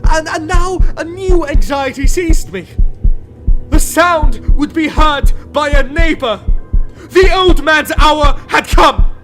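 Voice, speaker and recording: A man giving a dramatic reading of a gothic tale, theatrical, swinging between hushed whispers and frenzied shouts. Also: extremely high pitch, slow pace. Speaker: reader. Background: clear music.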